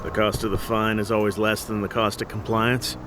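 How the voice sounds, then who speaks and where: An actor playing a cynical corporate guard in a video game, chatting in a dry, sardonic way. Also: male voice, fairly low pitch, level tone, a little fast, noisy background, natural voice. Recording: loud music behind.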